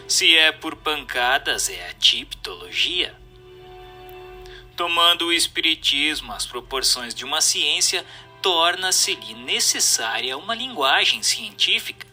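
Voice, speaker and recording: Bright, expressive Brazilian male narrator over music. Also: on the high side, a little slow. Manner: formal, didactic, emphatic. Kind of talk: scripted narration, reading a book aloud.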